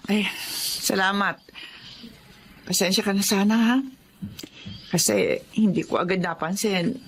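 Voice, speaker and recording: A woman's voice: medium pitch, unhurried, breathy edge. A woman playing a weary older mother. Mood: worried. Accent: Filipino. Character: worried older widowed mother, egg vendor. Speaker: widowed mother of grown sons. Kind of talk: radio drama acting.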